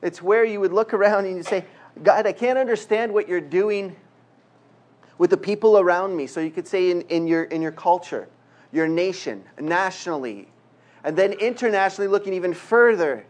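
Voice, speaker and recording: A man's voice: high voice, moderate pace. A middle-aged man from Quebec with a Canadian accent, preaching a conversational sermon with self-deprecating humor. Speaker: guest preacher. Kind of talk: sermon with jokes and prayer.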